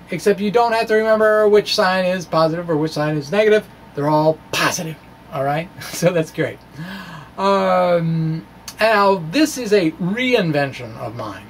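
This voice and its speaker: A middle-aged man giving an informal physics explanation in a quirky, wry, halting manner with animated emphasis. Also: sits high. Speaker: physics video blogger. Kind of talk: informal explanatory monologue, dramatic reading of own blog. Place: small room with bookshelves. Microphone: handheld-style microphone on stand in front of him. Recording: clear background room noise.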